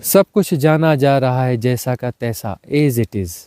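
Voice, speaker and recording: Guy teaching meditation, soft-edged dark voice, really dry recording, short unhurried phrases repeated, some english words mixed in. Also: medium pitch, clear, resonant tone, no room sound. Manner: mixes English words into Hindi. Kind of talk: guided meditation instruction.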